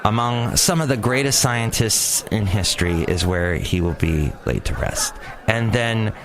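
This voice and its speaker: A man's voice: on the low side, lively intonation, a little slow, a crowd in the background. A man hosting his own podcast outdoors near a cafe, giving rambling, opinionated news commentary and stumbling over words. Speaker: podcast host. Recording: outdoor street noise. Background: traffic and city ambience.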